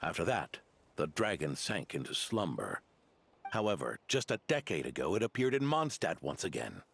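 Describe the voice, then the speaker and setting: A grave old knight commander from a fantasy game. His voice is very low and gravelly, with wide swings of intonation under a solemn, measured delivery. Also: sing-song, fairly bright tone, exaggerated voice. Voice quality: deep, gravelly. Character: grave, weathered veteran knight commander, older man. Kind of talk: video game voice acting.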